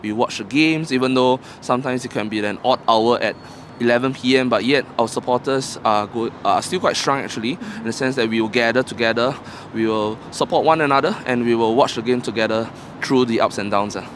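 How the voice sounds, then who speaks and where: A man's voice: mid-range voice, fairly quick, few pauses, fairly bright tone, slight background noise. A man from Singapore, interviewed outdoors about being a football fan, talking in a chatty, slightly hesitant way. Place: outdoors on a city street.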